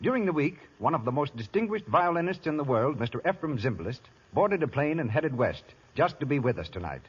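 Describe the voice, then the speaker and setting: A man's voice: medium pitch, husky. An American man announcing an old radio theater broadcast in a formal, grandiloquent scripted style. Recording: dull old radio recording.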